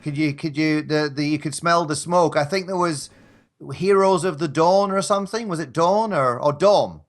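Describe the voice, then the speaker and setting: A man's voice: a little high, moderate pace. A man chatting casually as a podcast guest, polite and hesitant, often restarting his phrases. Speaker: podcast guest, audio drama performer. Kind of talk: casual chat.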